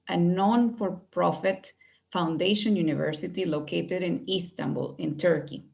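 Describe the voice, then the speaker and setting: A middle-aged woman from Venezuela gives an online presentation over a video call, speaking in a fairly low voice. She sounds friendly and measured, with frequent fillers as she talks. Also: vocal fry, a little mellow. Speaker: university recruitment director.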